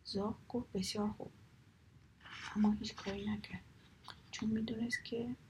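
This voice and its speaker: A woman narrating a children's story entirely in a soft whisper. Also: medium pitch, gravelly, a little hiss or hum, poor audio. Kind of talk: whispered bedtime story, ASMR.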